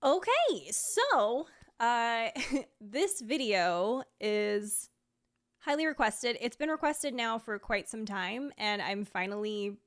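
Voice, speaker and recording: A woman's voice: a little high, expressive, slow. A woman talking casually to viewers like a streamer, in a conversational way sprinkled with "like" and small laughs. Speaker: video creator and streamer presenting a sponsored ranking video. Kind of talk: casual chatty vlog talk to viewers.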